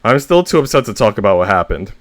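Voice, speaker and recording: A man's voice: fairly low pitch, very animated, fast, no echo, clean studio sound. A man voicing an upset, vengeful squirrel who grieves a friend, sounding emotional and aggrieved.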